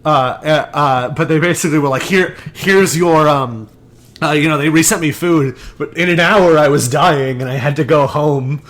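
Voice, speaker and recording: A man's voice: fairly high pitch, normal speed, fairly bright tone. Rambling young man telling a story. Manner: rambling storyteller with many restarts. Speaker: podcast co-host. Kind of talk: casual podcast chat.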